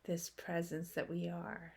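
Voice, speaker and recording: A woman leading a guided meditation in a soothing, unhurried way, with long pauses between short phrases. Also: on the low side, completely flat delivery, creaky, darkish tone, breathy edge.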